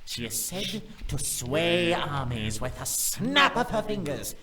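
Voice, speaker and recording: An actor playing an obsequious demon servant in a visual novel, formal, deferential and wheedling. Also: male voice, a little high, sing-song, takes it slowly, distant mic, a crowd in the background, heavily acted, poor audio. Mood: wheedling, reverent. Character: obsequious, pleading male demon servant to a king. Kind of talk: visual novel game voice acting.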